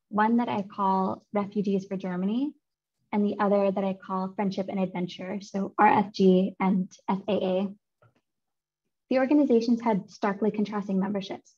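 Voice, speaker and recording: A woman in her thirties presents her research in a clear, measured academic manner. Her voice is mellow and mid-pitched. The video call audio sounds slightly muffled. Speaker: seminar speaker, author presenting research. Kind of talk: academic talk over slides. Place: home room.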